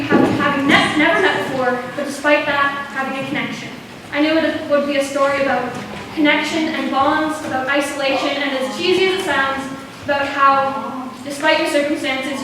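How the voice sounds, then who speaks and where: Echoey, high-pitched young woman addressing an audience. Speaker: playwright introducing own school play. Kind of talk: informal pre-show welcome speech. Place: echoey performance space with seated audience. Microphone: distant video camera at the back. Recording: distant microphone, echoey noisy hall.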